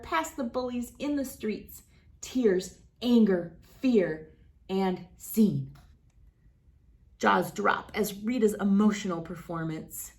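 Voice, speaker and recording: A woman reading a children's story aloud as host, with dramatic, deliberate phrasing and clear emphasis. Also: fairly high pitch. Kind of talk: expressive picture-book read-aloud.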